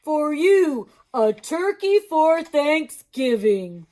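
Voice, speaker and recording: A woman voicing a jolly, polite male moose who coaxes sweetly and draws out his words slowly. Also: sits high, very animated, warm tone, pitched above the performer's own voice, exaggerated voice. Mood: cheerful. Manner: sweetly coaxing, drawn-out delivery.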